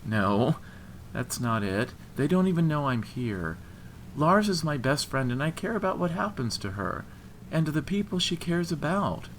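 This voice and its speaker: Man voicing an earnest, pleading higher-pitched young woman. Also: expressive, a bit bright, a little hiss or hum, exaggerated voice, rough recording. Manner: halting, imploring. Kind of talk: audiobook character dialogue.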